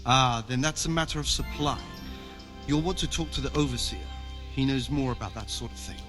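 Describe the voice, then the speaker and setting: A man playing a plain-spoken, gruff but helpful medieval gatekeeper at a monastery in a video game. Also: medium pitch, fairly quick, over music, lightly acted. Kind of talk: cinematic game dialogue.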